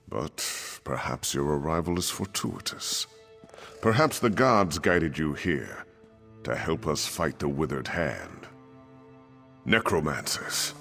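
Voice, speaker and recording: An actor playing a grave, weary middle-aged desert king in a video game, speaking in a measured, formal, regal manner. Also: rumbling low, gravelly, heavily acted. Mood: grave, welcoming. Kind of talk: scripted video game dialogue.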